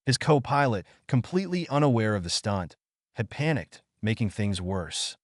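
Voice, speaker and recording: A man's voice: fairly low pitch, very animated, normal speed, dry recording, clean studio sound. A man reading a scripted story in a dramatic, suspenseful narrator's style.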